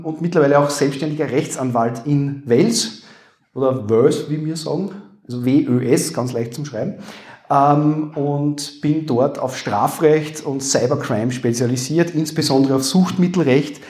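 A man with an Upper Austrian accent, a lawyer speaking live to an audience, making dry joking asides that trail off low. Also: darkish tone. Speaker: lawyer and comedy panelist. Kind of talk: live stage talk with jokes. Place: university hall before a live audience. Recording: echoey room.

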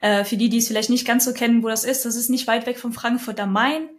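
A woman in her thirties, born in Ukraine and living in Germany for many years, talks as a guest on a casual podcast. Her voice sits a little high, and she answers in a friendly, talkative way, laughing easily between thoughts. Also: fairly even intonation, quick pace. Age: 30s. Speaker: podcast guest, startup coach.

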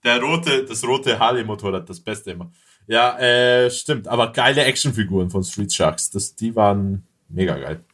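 Bright, forceful man, enthusiastic podcast chat. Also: fairly low pitch, moderate pace. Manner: enthusiastic, slangy. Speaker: podcast panelist. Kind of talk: casual chat.

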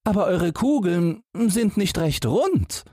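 A man's voice: high voice, sing-song, slow, mellow, no echo, clean studio sound, voice pushed up, exaggerated voice. A man voicing a fearless, naive and cheerful young lad in a storybook tale, perky and cheeky. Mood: eager, cheeky. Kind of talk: storybook character voice.

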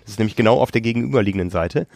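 A man's voice: fairly low pitch, rapid-fire, gravelly, a little mellow, dry recording. Chatty man with a slight cough. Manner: chatty storyteller, laughs often. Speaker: podcast co-host. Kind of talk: casual chat. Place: hotel on a tropical island. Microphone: remote call.

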